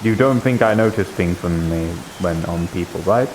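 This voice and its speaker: Man playing a low-voiced, shrewd interrogator. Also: normal speed, darkish tone, lightly acted, low-quality recording. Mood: probing, suspicious. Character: shrewd, probing male abbey member pressing for secrets. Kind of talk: improvised online roleplay. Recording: hissy live stream microphone.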